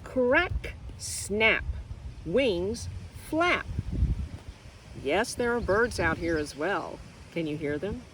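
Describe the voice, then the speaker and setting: A woman teacher reading a picture book to young children outdoors, expressive and asking them questions directly as she explains things simply. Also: mid-range voice, very slow, slight background noise. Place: outdoors in nature.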